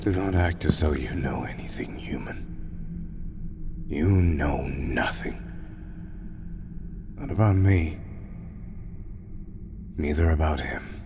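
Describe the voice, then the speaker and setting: A man playing a weary, proud, grieving god in a fantasy audio roleplay, solemn and formal, often breathy. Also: low voice, exaggerated voice. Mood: cold, bitter. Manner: solemn, measured, formal archaic phrasing. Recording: clearly noisy, ambient background sound.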